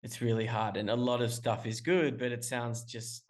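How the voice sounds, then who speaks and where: Male musician guest on a call, rambling and hesitant, mid-pitched everyday voice. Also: little pitch movement, moderate pace. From Australia. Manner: rambling, hesitant, many restarts and fillers. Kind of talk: casual interview talk. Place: calling in remotely.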